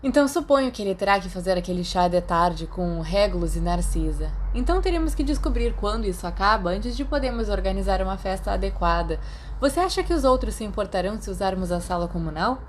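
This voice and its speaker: A woman's voice: mid-range voice, animated, fast talker, a little hiss or hum, lightly acted. Storybook schoolboy, friendly and self-assured, Brazilian accent, performed by a female narrator. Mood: planning eagerly. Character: friendly, easygoing teenage schoolboy.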